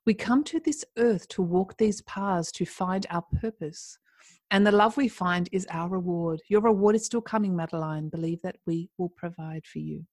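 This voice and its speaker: A woman voicing a gentle chorus of wise spirit guides in a hushed, soothing tone. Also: fairly low pitch, normal speed, no echo.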